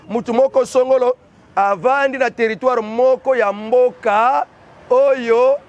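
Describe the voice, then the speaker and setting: A man with a Congolese accent giving impassioned political commentary, exhorting his listeners. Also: extremely high pitch. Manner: urgent, exhorting, mixes two languages.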